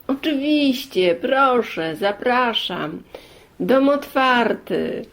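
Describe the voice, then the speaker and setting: A woman acts out a poor but joyful father from a village storybook. He talks slowly in a slightly creaky voice, open and eager, welcoming in short exclamations. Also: very high voice, lively intonation, fairly bright tone, pitched above the performer's own voice, exaggerated voice. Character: cheerful, hospitable poor father of a village family.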